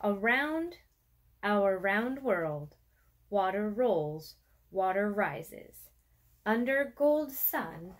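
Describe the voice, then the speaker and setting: Slow-paced woman reading a picture book. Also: medium pitch, sing-song. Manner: measured, deliberate phrasing with pauses. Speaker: museum educator reading aloud. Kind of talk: expressive children's picture-book read-aloud. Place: small furnished room with armchair and bookshelf, indoors.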